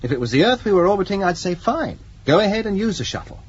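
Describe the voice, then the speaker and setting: An actor playing a dutiful, cautious young spaceship commander in a radio drama, firm, clipped and authoritative. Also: male voice, sits high, sing-song, fast, breathy edge, heavily acted. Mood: firm, anxious. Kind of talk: 1980s radio drama acting. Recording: dull old radio recording.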